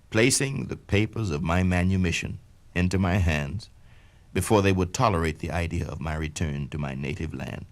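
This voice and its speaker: A man with a low-pitched voice reads a memoir aloud in the first person, measured and declamatory. His pitch swings widely and expressively from phrase to phrase. It sounds like an old spoken-word record. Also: fairly bright tone. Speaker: narrator reading a memoir. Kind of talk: dramatic first-person reading of an autobiography.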